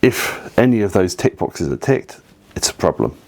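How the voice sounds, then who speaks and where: A man's voice: low voice, big pitch swings, normal speed, vocal fry, a little mellow. A man giving casual family travel advice, chatty and opinionated, with frequent ums and asides. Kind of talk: casual advice talk to camera.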